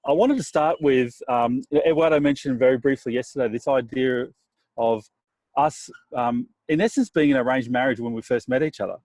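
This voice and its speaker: A man's voice: medium pitch, moderate pace, darkish tone, no room sound, poor audio. An earnest man introduces a leadership webinar in a mid-range voice. The sound is dry and close, and he leans on fillers as he speaks. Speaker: business partner introducing the webinar.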